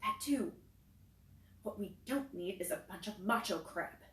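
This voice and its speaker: A woman voicing a sharp-tongued teenage girl who scolds the boys and takes charge. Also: mid-range voice, expressive, unhurried, distant mic. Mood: firm calm. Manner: scolding, commanding. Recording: roomy echo, faint noise.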